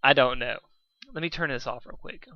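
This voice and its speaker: A man's voice: medium pitch, normal speed, sharp tone, no room sound, highs cut off. A man narrating fan fiction as an amateur reader, stumbling now and then. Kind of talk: animated story narration with stumbles.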